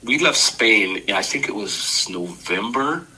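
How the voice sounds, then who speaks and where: A man in his sixties, a podcast guest, recounting his childhood in a measured, reflective storytelling manner. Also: on the low side, expressive, bright, forceful tone, breathy edge, low-quality recording. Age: 60s. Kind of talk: personal storytelling interview.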